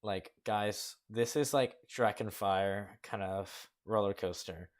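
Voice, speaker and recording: Low-voiced young man casually critiquing rides. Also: fairly even intonation, slow. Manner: rambling, frank critique with frequent fillers. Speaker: host judging a coaster-building contest. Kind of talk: casual commentary over gameplay.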